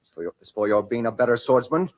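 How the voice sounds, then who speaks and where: A man's voice: medium pitch, expressive, fast, natural voice. An actor playing a proud, vengeful young French nobleman in a theatrical, formal, ominous old-time radio drama style. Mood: proud defiance. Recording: dull, muffled old radio recording.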